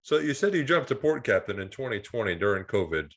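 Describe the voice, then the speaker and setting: A man's voice: fairly low pitch, very animated, fast talker, a bit bright. A man hosting a podcast, asking brief, direct interview questions. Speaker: podcast host.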